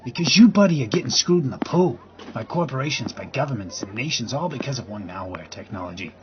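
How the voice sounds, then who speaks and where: A man's voice: mid-range voice, animated, quick pace, lightly acted. A man acting in an audio drama as a rambling, agitated, crude guy arguing about conspiracies. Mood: crude, ranting. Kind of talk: scripted audio drama, casual dialogue. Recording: dull recording with loud background noise.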